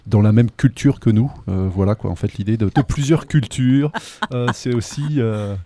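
A man from a local arts association answering interview questions, halting, with many fillers and restarts. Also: fairly low pitch, mellow. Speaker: association member. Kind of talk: hesitant radio interview answer.

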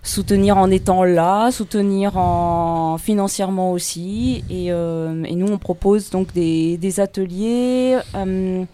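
A woman's voice: medium pitch, slow pace, hardly any pauses, warm tone, a little hiss or hum. A woman from an arts association explaining an open day in an interview. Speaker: association member. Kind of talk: interview answer.

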